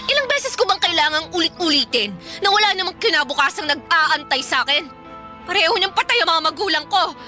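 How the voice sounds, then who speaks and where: Rebellious teenage stepdaughter, very high bright voice, swooping intonation, bitter back-talk, radio drama. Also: female voice, lively intonation, normal speed, heavily acted. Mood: bitter, angry. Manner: sarcastic, insolent back-talk. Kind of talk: Tagalog radio drama acting. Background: background music.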